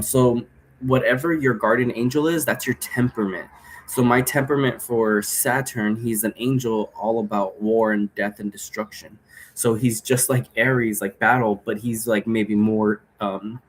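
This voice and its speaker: A man's voice: lowish voice, poor audio. Casual, rambling man with background noise. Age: 30s. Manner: rambling, informal, trails off and circles back. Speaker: game creator explaining rules. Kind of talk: casual, unscripted explainer talk.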